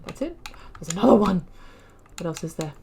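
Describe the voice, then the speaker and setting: A woman's voice: lowish voice, lively intonation, brisk, darkish tone, a little hiss or hum. A woman casually commenting on a game playthrough, thinking aloud, trailing off and correcting herself as she reads through in-game notes. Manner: musing, thinking aloud with fillers and self-corrections. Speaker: gaming video creator.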